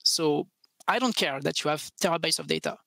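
A man's voice: on the high side, expressive, brisk, sharp tone, no room sound. A man in his thirties with a French accent, answering emphatically as an expert panelist, repeating his key points. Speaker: panelist, tech research expert. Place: open-plan office, indoors. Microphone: headset boom mic.

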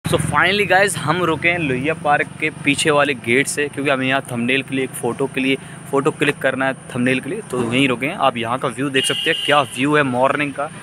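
Young Indian vlogger over loud street noise. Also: male voice, medium pitch, steady tone, fast, hardly any pauses. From Uttar Pradesh, India. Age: young adult. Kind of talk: casual vlog chat to viewers. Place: outdoors on roadsides and on a motorbike. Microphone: phone at arm's length. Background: traffic and motor noise.

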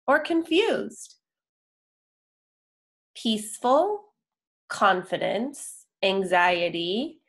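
A young adult woman reading a story aloud for young children, enunciating deliberately with pauses between words. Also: mid-range voice. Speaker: children's picture book reader. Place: indoor room, on a video call.

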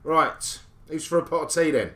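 A man's voice: a little high, moderate pace, sharp tone. An actor playing a cheeky, flirtatious Cockney salesman in an audio drama, chatty and cajoling. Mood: cheeky, upbeat.

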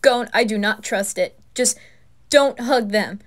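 Woman playing jittery, slow-talking skeptical anime lad. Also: fairly high pitch, lightly acted. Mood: alarmed, exasperated. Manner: hesitant, exasperated, casual. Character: nervous, skeptical anime lads, easily spooked but good-hearted. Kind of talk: anime fan roleplay ASMR.

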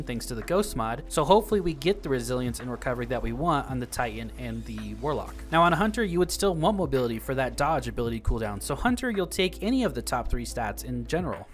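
An upbeat man talks through a game guide in an explanatory voice-over, leaning on repeated words for emphasis. His voice sits a little high for a man, and faint music plays underneath. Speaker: gaming channel host.

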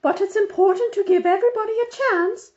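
Woman putting on a high-pitched bossy storybook lady. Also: fairly quick, a little mellow. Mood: bossy, emphatic. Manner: insistent, preachy, emphatic. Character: bossy, self-important middle-aged woman lecturing by telephone. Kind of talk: storybook character voice. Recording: dull, muffled lo-fi audio.

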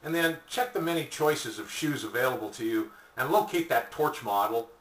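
An older man giving a folksy, conversational sales pitch to camera as a product presenter, seated in a small room. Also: lively intonation, moderate pace, fairly bright tone. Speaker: product presenter for an online shop. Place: small indoor room at home or office, seated. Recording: echoey room, distant mic.

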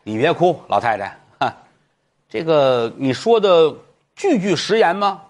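A man voicing a shrewd adviser to a judge, speaking in a calm, measured way. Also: a little high, pitched above the performer's own voice.

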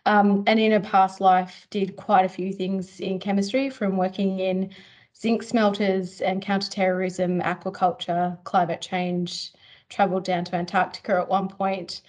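A woman's voice: medium pitch, flat delivery, measured pace, a little mellow. Brief: woman chemistry teacher, easygoing webinar presenter, video call sound.

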